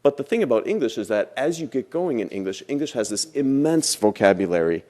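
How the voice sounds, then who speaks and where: A man's voice: medium pitch, sing-song, brisk, mellow. A man giving a lecture as a language teacher, explaining step by step and repeating phrases for emphasis. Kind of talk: classroom lecture.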